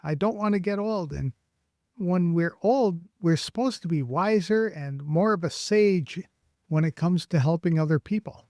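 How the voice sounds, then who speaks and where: Guy hosting a podcast, pretty high voice, lots of okays and short questions. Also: moderate pace, a little mellow, dry recording, studio quality. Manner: brief, affirming replies and questions. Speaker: podcast host. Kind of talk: podcast interview.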